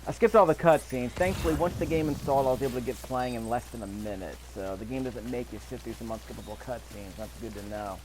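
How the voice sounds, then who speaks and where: A man's voice: mid-range voice, quick pace, few pauses, background noise. A man giving casual commentary over video gameplay, with an offhand, dryly skeptical way of talking.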